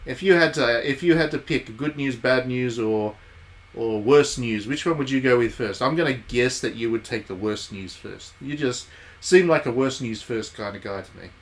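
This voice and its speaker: A man's voice: medium pitch, moderate pace. A man giving chatty, jokey livestream commentary on a game, talking to his viewers. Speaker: game streamer, reviewer. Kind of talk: casual livestream commentary, reading game text aloud.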